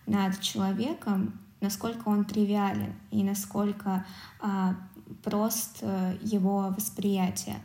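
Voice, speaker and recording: A woman with a soft, dark tone speaks in a noticeably reverberant room. She co-hosts a podcast, laying out her analysis in a measured way. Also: mid-range voice. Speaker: podcast co-host. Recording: roomy, echoing sound.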